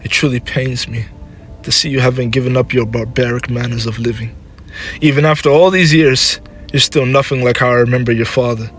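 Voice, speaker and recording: A man's voice: medium pitch, steady tone, fairly quick, fairly bright tone, faint music behind, lightly acted. Man playing a reproachful, emotional mother. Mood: bitter reproach. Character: anguished, scolding, sickly elderly mother of a warrior.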